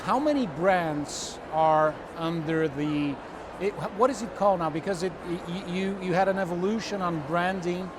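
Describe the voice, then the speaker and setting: Man, high voice, interview host, restarts his questions, clear noise behind. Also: moderate pace. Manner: repeats words, restarts questions. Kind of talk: conversational interview. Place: busy trade show floor. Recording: noisy trade show floor.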